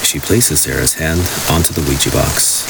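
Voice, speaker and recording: A man's voice: extremely low pitch, fairly even intonation, moderate pace, gravelly, background noise. A man narrating a scripted radio play. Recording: loud music behind the voice.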